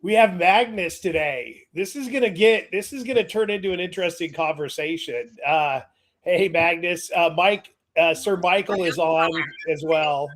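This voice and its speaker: Guy hosting a video call, kinda high voice, rambly, lots of ums and restarts. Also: a bit bright. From Washington state. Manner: rambling, halting, many fillers and restarts. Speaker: host. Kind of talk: casual video call chat.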